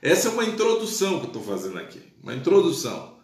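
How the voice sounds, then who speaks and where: A man's voice: on the high side, huge pitch swings, very fast, echoey room. A male pastor teaching the Bible in a live stream, exclaiming praise and checking in with his listeners, his Portuguese touched by Spanish. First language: Spanish influence.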